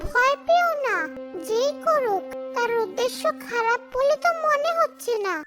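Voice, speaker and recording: A woman's voice: extremely high pitch, expressive, a little slow, over loud music. A woman voicing a cheerful, trusting young wife in a cartoon, speaking in a friendly, reassuring way. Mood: pleased, reassuring. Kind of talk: cartoon voice acting.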